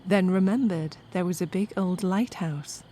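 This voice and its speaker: A woman's voice: on the low side, measured pace, warm tone. A woman narrating a scripted comic story with wry, conversational asides to the listener.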